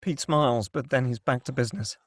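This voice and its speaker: A man's voice: medium pitch, brisk, no room sound, clean studio sound. A man narrating screenplay stage directions in a scripted table-read style.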